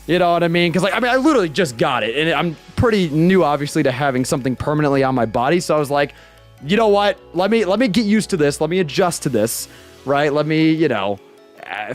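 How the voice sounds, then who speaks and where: A twenty-year-old man chatting casually on a livestream, animated and swearing, close to a boom-arm studio mic in a small bedroom. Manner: animated, swearing, talks with his hands. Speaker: streamer. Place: small bedroom streaming room with purple lights. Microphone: studio mic on boom arm, headphones.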